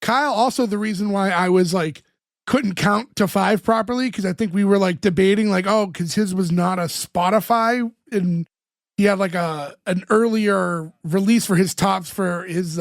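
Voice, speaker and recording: Brief: podcast co-host, man, high voice, dry close sound, chatty and filler-heavy, voice getting worn. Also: normal speed, dry recording. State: losing his voice. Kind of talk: casual chat, recapping a TV episode.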